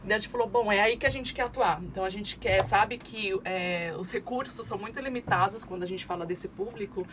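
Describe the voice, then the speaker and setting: A woman's voice: mid-range voice, brisk, hardly any pauses. Articulate Brazilian businesswoman on a podcast. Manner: articulate, steady explanations with frequent "né" and "é" fillers. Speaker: podcast guest, marketing expert. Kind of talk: conversational podcast interview. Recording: clear background noise.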